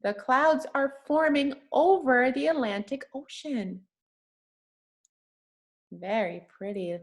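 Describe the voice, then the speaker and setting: A woman's voice: high-pitched, slow. A woman reading aloud to young children like a teacher, using simple, patient, encouraging language and asking questions as she goes. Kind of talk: interactive children's read-aloud with questions and praise.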